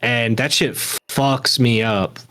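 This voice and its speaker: A man in his late twenties, co-hosting a podcast, telling stories frankly in a halting way full of restarts. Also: medium pitch, fairly bright tone. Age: late 20s. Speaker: podcast co-host. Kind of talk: casual chat.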